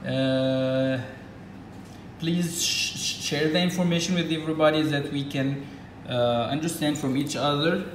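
A man from Egypt with an Arabic accent, whose first language is Arabic. He speaks in a casual, halting way with many fillers, demonstrating something at a table indoors. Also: fairly high pitch, fairly even intonation, echoey room, slight background noise. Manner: halting, with frequent fillers and restarts. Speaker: reptile keeper.

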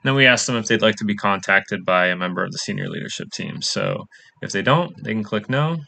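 A man's voice: fairly low pitch, animated, a little fast, gravelly, bright, forceful tone. A young adult man, a coding student, casually walking through his web app on a screen recording with lots of "um" fillers. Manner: casual, many "um" fillers, plain walkthrough. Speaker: coding bootcamp student presenting a project. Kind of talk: screen-recorded project demo.

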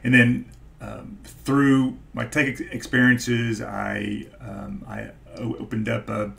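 A man in his forties with a stutter, talking casually to camera in a halting way, searching for his words. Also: mid-range voice, little pitch movement, creaky, a bit bright. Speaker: YouTube host, stock investor. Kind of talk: casual vlog talk to camera. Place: small home office, indoors.

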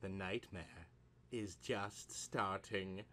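A man's voice: sits low, extremely slow, pushed and bright, soft background music. A man narrating a scripted horror story in an ominous, dramatic manner.

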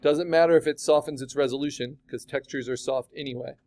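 A man teaching a digital art class, chatting casually and asking his students questions as he demos the software. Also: medium pitch, steady tone, brisk. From California. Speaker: art instructor.

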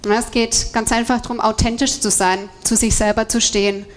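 A woman's voice: on the high side, little pitch movement, brisk, faint music behind. A woman in her thirties speaking as a coach on stage, explanatory, giving a motivational talk to an audience through a headset mic. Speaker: co-presenter, movement coach. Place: indoor event room with seated audience. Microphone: headset microphone.

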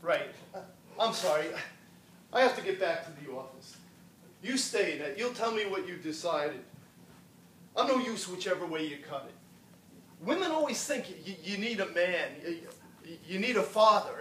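A man's voice: high voice, very animated, moderate pace, fairly bright tone, a little hiss or hum. An actor playing a dismissive, sarcastic middle-aged lawyer and father on a theater stage. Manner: curt, sarcastic. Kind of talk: stage play acting. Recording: roomy stage sound from a distance.

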